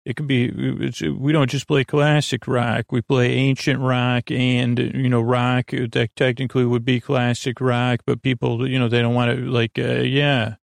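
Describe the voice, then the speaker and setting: Creaky, lulling man rambling softly to listeners. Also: medium pitch, flat delivery, unhurried, hardly any pauses, dry recording. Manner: meandering, tangential, trailing off and restarting; lulling, soothing. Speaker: podcast host. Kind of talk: rambling sleep podcast monologue.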